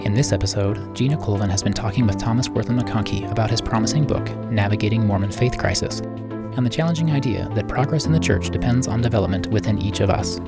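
A man reads a scripted podcast introduction in a brisk, announcer-like way. His voice is low-pitched and gravelly. Loud music plays underneath his words. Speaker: podcast producer and narrator. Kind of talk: scripted narration.

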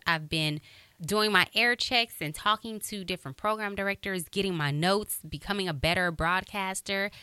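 A woman radio broadcaster hosting a motivational podcast, with a high voice and an upbeat, encouraging way of talking. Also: sharp tone, clear, resonant tone, no echo.

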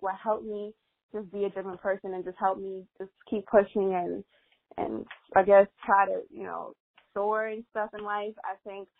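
A young woman whose first language is Spanish talks through her story in an earnest, meandering way, leaning on fillers. Her voice sits at a middle pitch and comes over a narrow, tinny, phone-like line with no room sound at all. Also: dry recording. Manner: earnest, rambling, many "like" fillers. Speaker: interview guest, model. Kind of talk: casual interview. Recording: dry, tinny phone-like audio.

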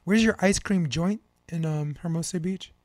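A man's voice: fairly high pitch, moderate pace, a little mellow, no room sound, clean studio sound. An American man in his fifties hosting a podcast in casual chat, with a slangy, joking way of rambling into advice. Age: 50s. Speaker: podcast host.

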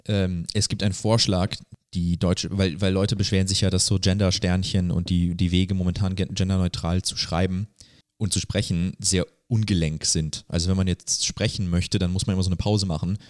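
A man's voice: sits low, level tone, normal speed, dry recording, clean studio sound. A man hosting a podcast, explaining things in a casual, rambling, colloquial way. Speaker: podcast host. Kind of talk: casual conversational podcast chat.

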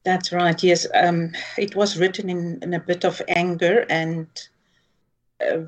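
Slow, low-voiced woman. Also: flat delivery. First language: Afrikaans. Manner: hesitant, earnest, many fillers and restarts. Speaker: poet guest. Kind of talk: interview conversation and poetry reading.

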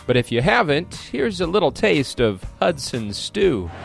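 A man's voice: a little high, sing-song, unhurried, vocal fry, music in the background. A man hosting a podcast, giving a polished, wry scripted narration. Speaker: podcast host.

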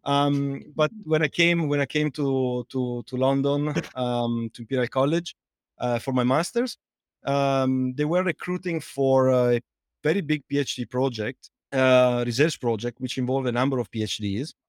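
A man's voice: mid-range voice, moderate pace, clear, resonant tone, no room sound, clean studio sound. A middle-aged man from Italy with an Italian accent, answering interview questions in a hesitant way with many fillers and restarts. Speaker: guest, fouling researcher and company co-founder.

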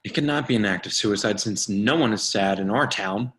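A man's voice: fairly low pitch, a little fast, a bit bright, lightly acted. A man playing a bossy, dismissive office worker in a play reading, speaking in emphatic declarations. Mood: insistent.

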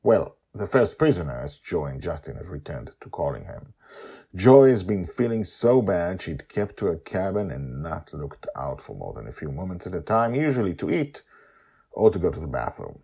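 A man hosting a story podcast, narrating in an animated, theatrical and emphatic way. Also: low voice, moderate pace, creaky, breathy edge.

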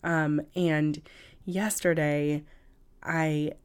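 Low-pitched raspy woman podcaster, slow pace. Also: little pitch movement. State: hoarse from a cold and cough. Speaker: podcast host. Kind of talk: casual solo podcast talk. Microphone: cough drops in mouth, podcast recording.

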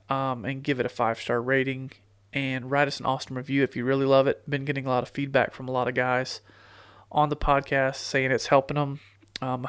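A middle-aged man with a stuffy, congested nose talks into a podcast mic, rambling along with fillers and restarts. His voice stays smooth and clear despite the cold, and the sound is dry and close. His pitch barely moves, so the delivery runs level and even. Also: no room sound. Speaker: podcast host. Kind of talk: casual solo podcast talk. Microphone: studio podcast microphone.

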